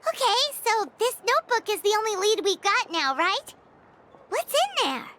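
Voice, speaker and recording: A tiny, childlike fairy companion for a video game. The voice is extremely high and squeaky, bouncing all over in pitch with curious excitement. Clear noise sits behind it. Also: female voice, normal speed, heavily acted. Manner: inquisitive, speaks of herself in third person.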